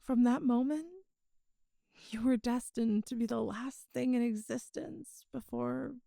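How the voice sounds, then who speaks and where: A woman voicing an ancient female demon in an ASMR audio roleplay, tender, wise and regretful, speaking softly and intimately with sighs and hesitations. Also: on the high side, measured pace, gravelly, dry recording, clean studio sound. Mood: wistful, solemn. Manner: soft, hesitant, intimate, sighing.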